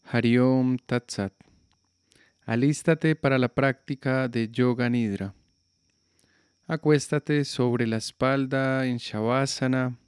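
A man guiding a yoga nidra relaxation with slow, calm, soothing instructions. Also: mid-range voice, clear, resonant tone, dry recording, studio quality. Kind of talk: guided relaxation meditation.

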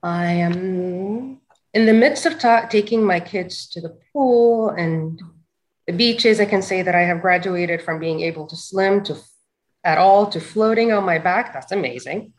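A woman from Saudi Arabia talking as a guest on a video call, hesitant and halting, also reading out viewer comments. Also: lowish voice.

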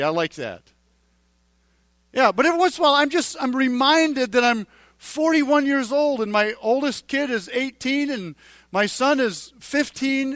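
High-voiced man in his forties preaching. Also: a bit bright, dry recording. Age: 40s. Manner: halting, repeats and restarts phrases, speaks straight to the congregation. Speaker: pastor. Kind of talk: conversational sermon.

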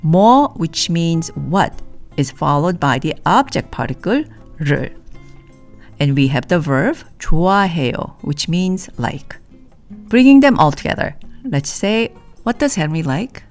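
A woman teaching a language in a scripted podcast lesson, saying model phrases slowly and clearly for listeners to repeat. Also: deep voice, animated, darkish tone, faint music behind.

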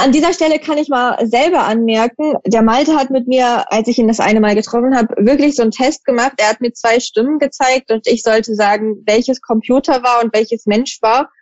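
A woman's voice: a little high, moderate pace, a little mellow, no room sound, dull audio. A woman hosting a podcast interview, asking probing questions and summarizing the answers. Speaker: podcast host. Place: lives in Switzerland for almost ten years.

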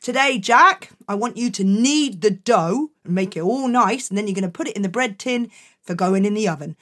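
A woman's voice: medium pitch, animated, moderate pace, a bit bright, pitched below the performer's own voice. A woman voicing a friendly male baker in a children's story, kindly and matter-of-fact.

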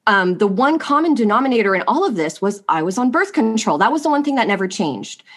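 A woman's voice: medium pitch, very animated, fast talker. A young adult woman, sniffly from allergies, guesting on a podcast and telling her personal story in a rambling way, full of likes and you-knows. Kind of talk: candid personal story in conversation.

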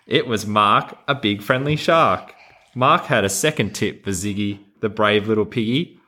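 A man's voice: on the low side, moderate pace, bright, forceful tone. An Australian man who hosts a podcast, talking casually and reading a children's storybook in a playful, encouraging way. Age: adult. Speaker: podcast host.

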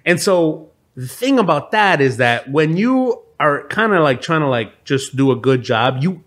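A man's voice: on the high side, sing-song, a little slow, a bit bright. A man from Venezuela and Miami talking casually and comedically as a podcast co-host, repeating phrases for emphasis. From Venezuela, raised in Miami. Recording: music playing behind the voice. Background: guitar music.

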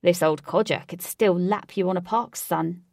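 A teasing older father who loves a jab at his son, as a woman puts him on. His voice is a little gravelly and low, full of dry ribbing. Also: normal speed, dry recording. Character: joking older father figure.

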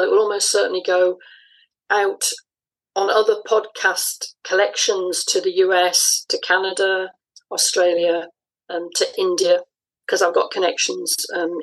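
A woman giving thoughtful, explanatory interview answers in a conversational style, often pausing mid-phrase. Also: medium pitch, slightly rough, poor audio. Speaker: interview guest, audio drama writer.

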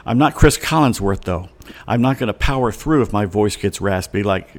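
A middle-aged man with a slightly hoarse voice, ranting in a chatty monologue with many fillers and restarts. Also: on the low side, animated, moderate pace. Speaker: vlogger. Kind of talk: casual rambling monologue.

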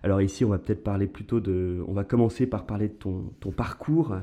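Soft-spoken man hosting a podcast. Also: on the low side, brisk. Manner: hesitant, many fillers. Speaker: podcast host. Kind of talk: conversational interview.

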